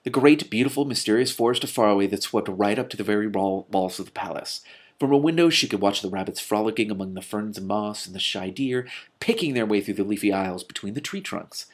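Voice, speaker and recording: A man hosting a read-aloud, giving an expressive, emphatic storybook reading with casual asides. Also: on the low side, quick pace. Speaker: host reading a book aloud with commentary.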